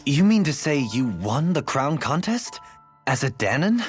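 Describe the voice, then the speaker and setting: An actor playing a dryly analytical, erudite nobleman in an anime-style video game, with formal, measured phrasing. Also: on the high side, sing-song, soft background music. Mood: probing.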